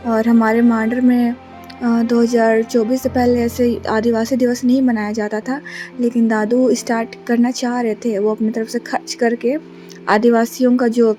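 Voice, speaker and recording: A young woman from Jharkhand talks softly and flatly into her phone, with many hesitations. Her voice is mellow, a little raised in pitch, and a bit rough from a sore throat. Faint music plays underneath. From Jharkhand, India. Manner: soft, subdued, many hesitations. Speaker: vlogger. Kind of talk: casual vlog narration. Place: bus stand, then a relative's flat.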